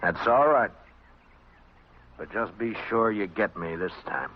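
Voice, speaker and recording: An actor playing a stern, laconic frontier marshal with an American accent, terse and steady, in an old radio western. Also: male voice, fairly low pitch, measured pace, slight background noise. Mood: calm, grim. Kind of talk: old-time radio western acting and first-person narration. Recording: muffled old radio broadcast.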